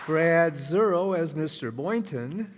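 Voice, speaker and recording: A man announcing in a measured, presentational way, reading formal credits from a stage. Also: on the high side, expressive, crowd noise. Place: live stage in a hall. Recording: reverberant hall, audience noise and hiss.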